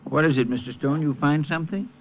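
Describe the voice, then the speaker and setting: A man playing a mild, polite, concerned shopkeeper in a 1950s radio drama, hesitant and soft-hearted. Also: a little high, fast talker, close to an everyday voice. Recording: dull old radio recording with noise.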